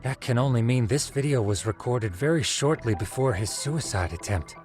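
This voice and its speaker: A man's voice: mid-range voice, lively intonation, normal speed, music in the background, natural voice. Formal, bookish male researcher played by an actor. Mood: grave, intent. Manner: formal, exact, explanatory. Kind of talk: English video game dub cutscene acting.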